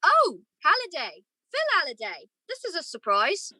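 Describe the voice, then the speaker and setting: Bright-voiced woman as a wistful young gentleman. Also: very high-pitched, huge pitch swings, moderate pace, no echo, clean studio sound, full character voice. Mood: genial, flustered. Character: conflicted young gentleman in love, wistful narrator. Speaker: student or guest actor in a radio play. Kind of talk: radio play acting with first-person narration.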